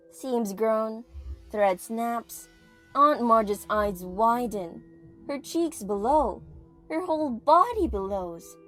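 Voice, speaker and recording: Woman, theatrical narrator, highish pitch, unhurried, suspenseful pauses, audio drama, background music.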